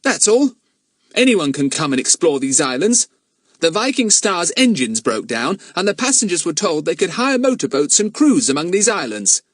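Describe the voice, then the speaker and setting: Man doing a high, indignant plucky boy. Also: sing-song, moderate pace, a bit bright, no room sound, studio quality. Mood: defiant. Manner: protesting, earnest, high-lifted delivery. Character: plucky, indignant boy adventurer standing up for himself. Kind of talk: audiobook character voice.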